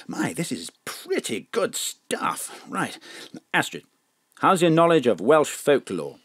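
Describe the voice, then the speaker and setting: Sly boozy spy boss undercover, posh and confiding, dry close sound. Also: male voice, a little high, big pitch swings, slow, fairly bright tone, slightly rough, dry recording, heavily acted. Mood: confiding, droll. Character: sly male spy chief disguised as a boozy down-and-out. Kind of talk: audio drama acting.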